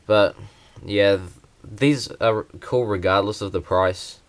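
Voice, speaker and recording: A man's voice: on the low side, slow. A young man, talking hesitantly with many fillers in a casual vlog. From New Zealand. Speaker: vlogger.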